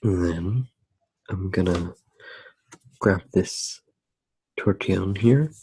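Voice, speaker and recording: A soft-spoken man narrating his drawing in an ASMR style, unhurried and halting, with long pauses. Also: deep voice, slightly rough, poor audio. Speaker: ASMR artist demonstrating a drawing.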